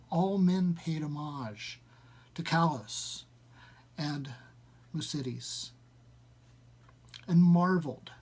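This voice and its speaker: Man giving an informal live literary reading. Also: fairly high pitch. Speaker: reader of a story at a live reading. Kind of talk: informal introduction, then literary story reading.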